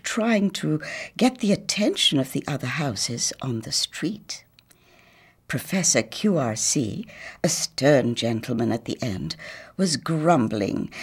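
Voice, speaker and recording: Slow, animated older Trinidadian woman storyteller. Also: deep voice, slightly rough. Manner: deliberate, theatrical. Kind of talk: expressive children's story reading.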